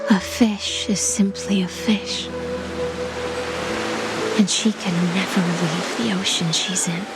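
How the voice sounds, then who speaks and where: Slow, wistful sea-bound warrior. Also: female voice, mid-range voice, steady tone, rough recording. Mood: resigned, tender. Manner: wistful, solemn, poetic delivery. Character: melancholy, poetic woman warrior-musician bound to the sea. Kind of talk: dramatic game cutscene monologue. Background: loud music.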